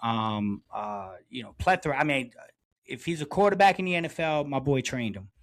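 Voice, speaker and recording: A man's voice: mid-range voice, animated, normal speed. A middle-aged man co-hosting a podcast, telling stories in a casual, slangy, chummy way and repeating phrases for emphasis. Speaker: podcast co-host. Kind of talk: casual chat, storytelling.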